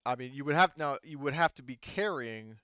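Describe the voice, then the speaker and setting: A man's voice: mid-range voice, expressive, brisk, fairly bright tone, clean tone, dry recording, lo-fi sound. A man chatting casually as the game master of a tabletop roleplaying session.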